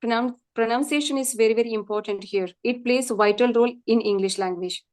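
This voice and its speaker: A woman's voice: on the high side, quick pace. A woman from southern India with a South Indian accent, a guest in an interview who repeats her points earnestly for emphasis. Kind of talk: casual interview chat.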